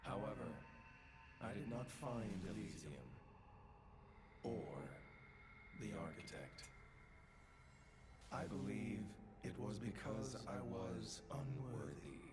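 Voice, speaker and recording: Grave, slow, low-voiced seeker. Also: male voice, animated, noisy background. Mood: solemn, regretful. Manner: slow, brooding, formal. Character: grave, regretful seeker recalling a failed quest. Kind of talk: solemn video game monologue.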